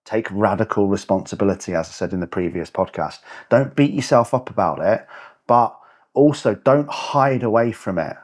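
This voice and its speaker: A man's voice: fairly low pitch, animated, moderate pace. A man in his forties, a coach hosting his own podcast, talking bluntly and emphatically and swearing for emphasis. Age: 40s. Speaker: fitness and lifestyle coach, podcast host. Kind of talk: motivational podcast monologue.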